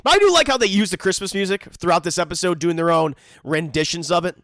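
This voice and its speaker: A man's voice: high-pitched, lively intonation, fast talker, a bit bright, no room sound, clean studio sound. A man casually joking through a cartoon episode commentary, often mock-voicing the characters. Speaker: commentary host.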